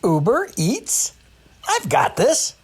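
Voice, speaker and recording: Woman doing eager ad character, super husky rough voice, wildly animated, punchy lines. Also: medium pitch, takes it slowly, heavily acted. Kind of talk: scripted advertisement acting.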